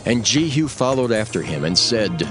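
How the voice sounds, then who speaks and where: A man's voice: lowish voice, very animated, moderate pace. A man narrating a dramatized audio Bible in a formal storytelling manner. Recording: music bed behind the voice.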